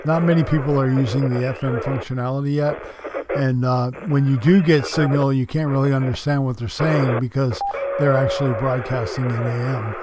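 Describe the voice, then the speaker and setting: A man's voice: mid-range voice, fairly even intonation, normal speed, very noisy. A man giving an informal, explanatory product review in a casual narrating style.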